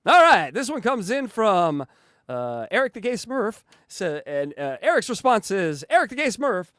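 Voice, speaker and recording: A male podcast host with a high, lively voice, chatting casually and stumbling over fillers with frequent giggles. The recording is dry and close, with no room sound. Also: dry recording. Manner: halting, filler-heavy, giggly. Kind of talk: casual comedic podcast chat.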